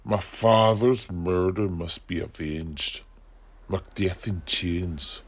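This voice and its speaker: A man playing an earnest, slow-witted warrior noble in comic mock-Shakespearean style, gruff and halting. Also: deep voice, exaggerated voice. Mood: frustrated, halting. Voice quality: gruff, husky. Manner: ponderous, halting.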